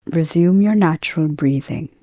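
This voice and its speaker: A woman teaching guided meditation, speaking in a calm, gentle, measured way with pauses between phrases. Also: deep voice, no room sound, muffled recording.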